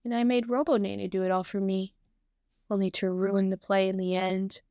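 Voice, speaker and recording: A woman's voice: medium pitch, level tone, moderate pace, no echo, close to an everyday voice. Woman acting shy teenage girl, self-blaming, hesitant, stammering, anxious, storybook character, dry sound. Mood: ashamed. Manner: hesitant, stammering, soft-hearted.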